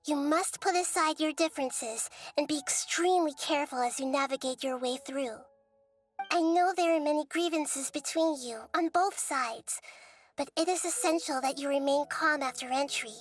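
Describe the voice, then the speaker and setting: A woman playing a calm, gentle, wise young ruler in a video game, speaking in a measured, earnest way. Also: sits high, faint music behind, natural voice.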